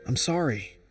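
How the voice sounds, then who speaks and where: A timid sighing frog singer. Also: male voice, a little high, far from the mic. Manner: hesitant, sighing.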